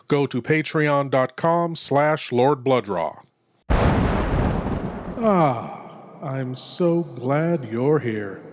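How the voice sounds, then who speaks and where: Slow, theatrical male horror host, heavy reverb. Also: mid-range voice. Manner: ominous, intimate, dramatic pauses. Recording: long cavernous reverb on voice.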